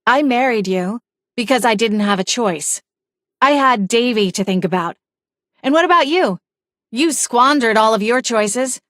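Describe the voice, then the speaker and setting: A woman's voice: medium pitch, normal speed, fairly bright tone, no room sound, natural voice. A woman voicing a bitter, scheming middle-aged wife who speaks sharply and with scorn, in a dry recording. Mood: scornful.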